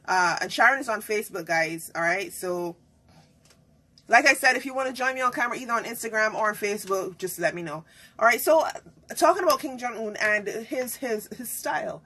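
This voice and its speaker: A woman's voice: a little high, animated, a little fast, bright, forceful tone. A woman who hosts a live online talk show. She chats casually, greets viewers and laughs often.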